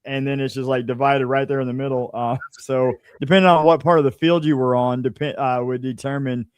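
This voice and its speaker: Smooth-voiced man hosting a casual sports interview. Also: medium pitch, level tone, fairly quick, no echo. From small town in the United States. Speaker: interviewer, show host.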